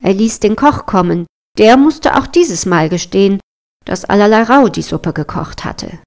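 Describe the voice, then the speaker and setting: A woman's voice: medium pitch, expressive, unhurried. A woman storyteller narrating a scripted fairy tale.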